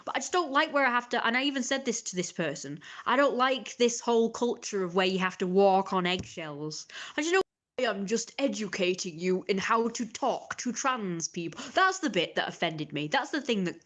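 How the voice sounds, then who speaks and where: A woman streamer ranting in casual live chat. She talks fast and exasperated, with mocking asides that quote other people. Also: medium pitch, fairly bright tone. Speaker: livestreamer.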